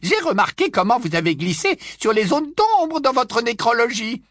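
A man voicing a nosy, cackling aunt over a hundred years old, with a shrill squawking voice that keeps repeating "no, no, no". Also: big pitch swings, normal speed, fairly bright tone, no room sound, studio quality, full character voice. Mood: gossipy. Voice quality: shrill, high squawk, endlessly repeating "non, non, non". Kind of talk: storybook reading.

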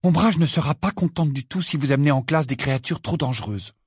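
A man puts on an earnest teenage schoolgirl for a storybook. The pitch is lifted well up, and the delivery is lively, urgent and insistent. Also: normal speed, no echo, clean studio sound. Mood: pressing.

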